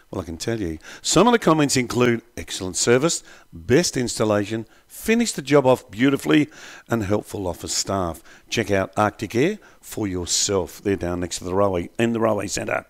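Low-voiced radio host. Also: male voice, moderate pace. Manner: chatty, reads ads in a relaxed way. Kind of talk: casual radio chat.

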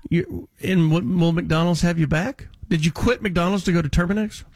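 A man's voice: sits high, moderate pace, darkish tone, no room sound. A man hosting a casual radio call-in show, bantering and giving advice in a blunt, teasing manner.

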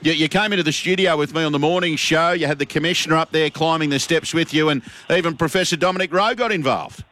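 A man's voice: fairly high pitch, quick pace, few pauses, bright, forceful tone. A man with an Australian accent hosting a radio show, chatting casually and cheering on guests. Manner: upbeat, matey banter. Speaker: radio host.

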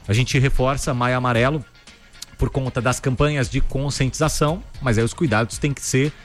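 A man co-hosting a radio news show, reading headlines briskly with casual asides. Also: medium pitch, fairly even intonation. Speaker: news co-host. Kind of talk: radio news bulletin chat. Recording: faint music bed behind.

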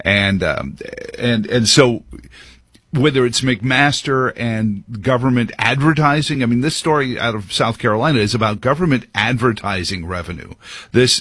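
A man's voice: medium pitch, a bit bright, husky, no echo. A man hosting a talk radio show, giving a rambling, opinionated monologue full of fillers and restarts.

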